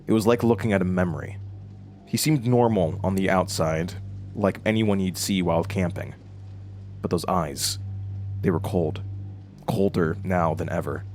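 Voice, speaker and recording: A man playing a haunted, brooding protagonist who narrates his traumatic past haltingly in an audio drama. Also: low voice. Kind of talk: scripted first-person audio drama narration.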